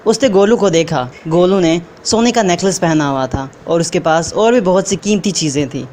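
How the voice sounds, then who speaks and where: A woman's voice: on the low side, normal speed, darkish tone, slight background noise. A woman narrating a scripted children's story.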